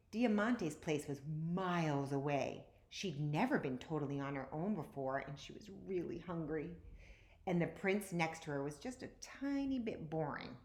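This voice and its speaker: A woman reading a children's story aloud with animated, emphatic narration. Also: low-pitched. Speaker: storytime reader. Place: indoor room, recorded at home. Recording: roomy, echoey, faint background noise.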